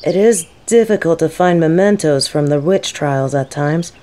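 A gentle female professor, played by a woman in an audio roleplay. Her voice sits slightly low, with a warm, relaxed tone. She is scholarly and thoughtful, choosing formal words. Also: normal speed, close to an everyday voice. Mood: gentle, sympathetic. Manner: soft-spoken, thoughtful, formal wording.

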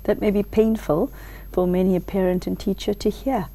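A middle-aged woman interviewer putting reflective questions in careful, pausing phrases. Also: mid-range voice, steady tone, mellow. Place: large library hall, indoors.

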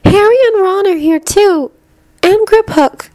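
A woman voicing a calm, caring teenage girl held prisoner in a story, soft-spoken and gently firm. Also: very high voice, measured pace, no echo, voice pushed up. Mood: urgent, hushed.

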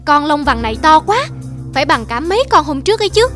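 A woman's voice: high voice, very animated, quick pace. A woman voicing a greedy, boastful young wolf in a cartoon, with music behind the voice. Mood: excited. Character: greedy young wolf boasting and hunting for tasty striped prey. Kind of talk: cartoon voice acting. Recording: loud music under the voice.

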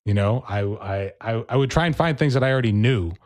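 A man speaking as a podcast guest, a relaxed storyteller in casual interview chat. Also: mid-range voice, brisk. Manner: relaxed storyteller, acts out quoted people. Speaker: percussionist and educator, podcast guest.

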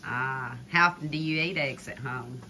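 An older woman chatting casually from behind a phone camera in a home kitchen, gently coaxing a child with tag questions while filming. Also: rumbling low, moderate pace, slight background noise. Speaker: vlogger filming a grandchild cooking. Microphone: phone camera held by the speaker. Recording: kitchen clatter of pots and dishes.

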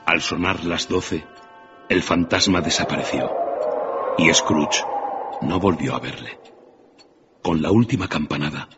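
A man narrating a radio drama as a grave storyteller, measured and dramatic. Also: very deep voice. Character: grave storyteller of a ghost tale. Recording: dull radio recording, music behind.

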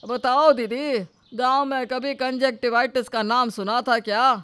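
A woman's voice: high voice, fairly even intonation, unhurried, background noise. A woman voicing a dismissive older village man in a cartoon story, folksy and proudly traditional. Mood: scoffing. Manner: folksy, scoffing.

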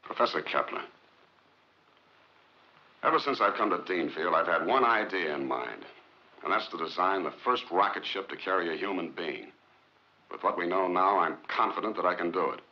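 An actor playing a brooding, determined scientist in a 1950s film drama, with clipped, earnest, sardonic delivery. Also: male voice, low voice, lively intonation, normal speed, long pauses. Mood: defiant, determined. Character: brooding, determined male rocket scientist accused of murder. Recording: muffled 1950s film soundtrack.

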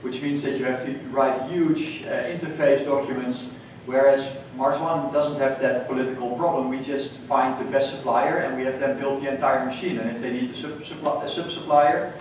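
A man speaking on a conference panel, halting with frequent restarts. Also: mid-range voice, fairly even intonation, a little hiss or hum. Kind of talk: panel discussion. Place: hotel conference room, panel table. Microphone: table mic, recorded from far off. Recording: echoey hall recorded from far off.

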